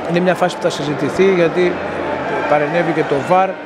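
A middle-aged man giving a steady, matter-of-fact postgame match report to a phone camera outside a stadium at night, with many hesitation fillers. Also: on the high side. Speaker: sports reporter. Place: outdoors near a stadium at night. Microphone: in-ear earphone mic, phone selfie framing. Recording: phone recording with loud outdoor noise. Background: loud urban outdoor ambience.

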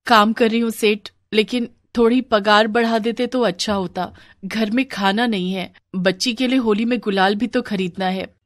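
A woman voicing a poor, tearful village housemaid and mother who pleads humbly in an animated story. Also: medium pitch, moderate pace, hardly any pauses, dry recording, studio quality, lightly acted. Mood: humble, pleading.

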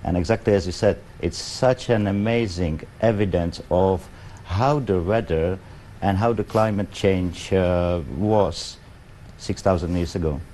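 A middle-aged man with a Czech accent, a guest in a TV studio interview, speaking halting English with many fillers. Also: deep voice, mellow, a little hiss or hum. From Czech Republic. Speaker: pavilion guest. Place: TV studio set.

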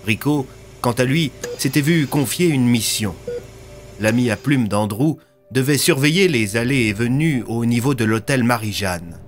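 A young man narrating scripted audio fiction as a storyteller, with soft music behind him. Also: medium pitch, moderate pace. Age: young adult.

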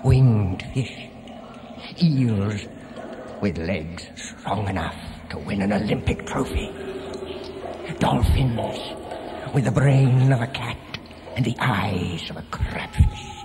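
A man's voice: lowish voice, takes it slowly, long pauses. A man playing a coaxing, boastful, sinister uncle figure in an audio drama, savouring his words theatrically. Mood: coaxing, gleeful. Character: sinister grandfatherly storyteller, self-styled uncle, coaxing and boastful. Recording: long echoing reverb, slight hiss.

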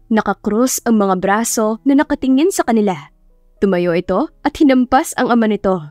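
A Filipino woman narrates a scripted drama in a fairly high voice. The sound is close and dry, with faint music underneath. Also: animated, normal speed, no echo. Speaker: audiobook narrator. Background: soft background music.

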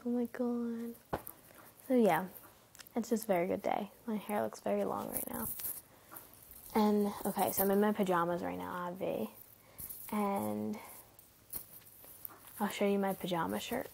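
A teenage girl vlogging from her bedroom at night, rambling casually with lots of fillers, often hushed, her nose stuffy from a cold. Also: mid-range voice, gravelly, husky. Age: teens. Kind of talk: casual late-night chat to camera. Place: bedroom at night, sitting on bed.

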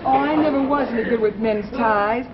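A woman's voice: fairly high pitch, normal speed, a loud crowd, natural voice. An American woman in her thirties playing a matter-of-fact young mother in a 1950s television comedy sketch. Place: television studio with live audience. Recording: muffled 1950s TV soundtrack, noisy audience.

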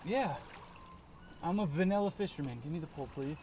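A man's voice: fairly high pitch, animated, fairly quick, darkish tone, lightly acted. A man in his thirties playing a cocky, earnest fishing instructor in an improvised parody film, recorded outdoors. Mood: eager, instructing. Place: outdoors by a lake. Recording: noisy outdoor lakeside film audio.

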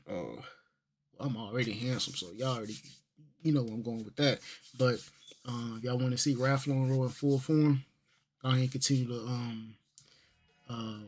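Chill guy in his 30s, rambling vlog into a desk mic, lots of ums, mid pitch voice. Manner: laid-back, rambling, heavy on fillers and slang. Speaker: vlogger. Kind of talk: casual fitness vlog. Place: small bedroom with gaming setup. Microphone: desk microphone.

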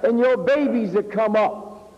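A man's voice: high voice, measured pace, darkish tone. A middle-aged American man delivering an emphatic sermon, drawing out key words and putting rhetorical questions to his listeners. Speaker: minister preaching at a mosque. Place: indoor hall, speaking at a lectern. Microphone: two podium microphones. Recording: reverberant hall, dull lo-fi old video sound.

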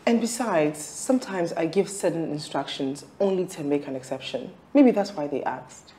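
An actress playing a defiant, calculating wife and mother in a screen drama, arguing with a sharp tongue. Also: low voice, expressive, normal speed, a little hiss or hum. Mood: evasive, defensive.

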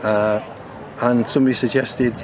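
A man's voice: medium pitch, little pitch movement, moderate pace, noisy background. An older man, a bowling club committee member, speaking in an outdoor interview with many fillers and restarts. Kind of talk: interview talk.

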